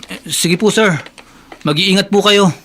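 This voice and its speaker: A man's voice: sits high, a little slow, sharp tone, slight background noise. An actor playing a resentful, self-serving male office worker in a radio drama, with a Filipino accent. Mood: falsely apologetic. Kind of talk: Tagalog radio drama acting.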